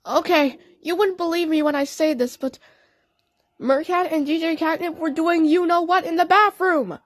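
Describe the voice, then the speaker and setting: A woman's voice: very high-pitched, steady tone, moderate pace, dry recording. A woman voicing a frightened, scandalised cartoon rat-cat in an audio play, emphatic and pleading.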